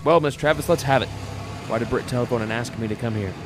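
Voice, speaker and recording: Actor as a gruff, impatient father in radio drama. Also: medium pitch, fast, noisy background, natural voice. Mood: impatient, surprised. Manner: blustering, brusque, interrogating. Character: gruff, impatient, demanding father of a grown son. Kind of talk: old-time radio drama acting.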